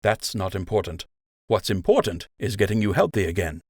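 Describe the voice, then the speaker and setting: A man's voice: fairly low pitch, big pitch swings, a little fast, breathy edge, dry recording, clean studio sound, heavily acted. A man voicing a firm, matter-of-fact male rabbit officer in an audiobook, blunt and steadying.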